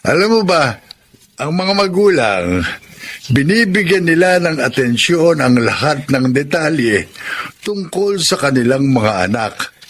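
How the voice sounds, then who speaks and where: An actor playing a lonely, gentle old widowed father in a Filipino radio drama, speaking tenderly and haltingly with a Filipino accent. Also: mid-range voice. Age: older, grandfather figure. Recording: faint background noise.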